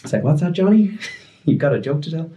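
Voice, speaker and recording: A young man telling a story as a podcast guest, joking and rambling with quick asides. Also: a little high, lively intonation, mellow. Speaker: podcast guest, music producer and DJ. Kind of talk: casual storytelling chat.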